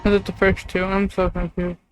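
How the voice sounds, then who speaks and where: A woman's voice: mid-range voice, flat delivery, a little fast, faint music behind. A woman chatting casually as she streams a video game, easily distracted and loose with her language. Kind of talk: casual live gameplay chat. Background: background noise with a loud pet dog.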